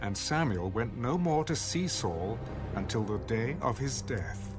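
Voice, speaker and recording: A male narrator reads a Bible story as scripted drama. His delivery is slow with lively pitch movement, and music plays clearly behind him.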